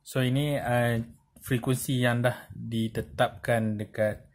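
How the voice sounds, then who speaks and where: A man with a Malaysian accent giving an informal hands-on tutorial, explaining step by step in a halting way with frequent check-in tags. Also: medium pitch, steady tone. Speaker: presenter of a tutorial. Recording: clearly noisy amateur recording with clicks.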